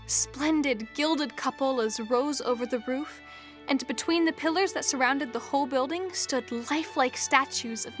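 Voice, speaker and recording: Female fairy-tale narrator, high voice, scripted storytelling with clear musical backing.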